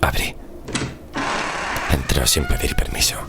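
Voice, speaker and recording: A man's voice: rumbling low, huge pitch swings, a little slow, heavy background noise, heavily acted. A man playing a weary, stubborn sixteenth-century sailor in an audio horror drama, curt and sardonic in archaic formal speech. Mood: weary, brooding. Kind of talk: audio horror drama with first-person narration.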